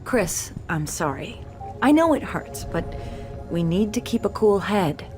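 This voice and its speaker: An actress playing a level-headed, cautious resistance commander in a video game, serious and deliberate. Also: female voice, mid-range voice, sing-song, darkish tone, soft background music. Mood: sympathetic caution. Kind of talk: video game cutscene acting.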